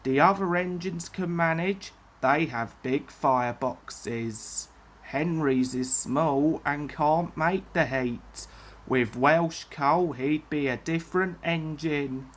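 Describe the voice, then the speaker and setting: A woman voicing a loyal male railway fireman in a children's storybook, matter-of-fact, deferential and low in pitch. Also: takes it slowly. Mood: apologetic.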